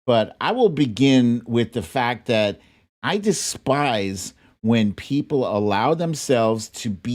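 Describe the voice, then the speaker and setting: An American commentator delivers opinionated political talk at a slow pace. The pitch sits in the middle, and the manner is blunt, emphatic and indignant, as unscripted argument from a show host. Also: a little mellow.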